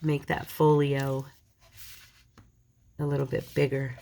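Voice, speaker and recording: A woman's voice: a bass voice, little pitch movement, slow, gravelly, warm tone, slight background noise. A woman with a slight cold, chatting casually and a little self-deprecatingly as she folds paper in a crafting tutorial. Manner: chatty, self-deprecating, talks while working.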